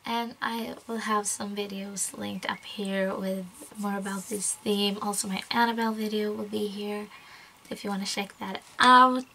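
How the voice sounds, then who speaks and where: A woman's voice: medium pitch, vocal fry, a little hiss or hum, rough recording. A young woman from Sweden with a Swedish accent, rambling casually through a spooky tale in a vlog and dropping often into whispers. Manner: chatty, rambling, slips into whispers and giggles. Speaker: YouTuber. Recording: loud spooky background music.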